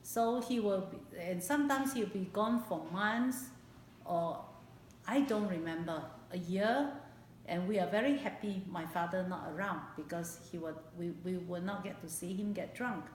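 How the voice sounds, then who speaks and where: A woman in her late fifties with a Singaporean accent recalls her life in a personal interview, speaking haltingly and repeating phrases for emphasis. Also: lowish voice, darkish tone. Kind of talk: personal oral history interview. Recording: echoey room, background hiss.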